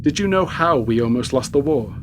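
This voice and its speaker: A man playing a probing, world-weary government interrogator in a post-war radio play, questioning in a measured, formal, clipped way. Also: mid-range voice, expressive, close to an everyday voice. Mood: grave, persuasive. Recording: very noisy radio drama recording.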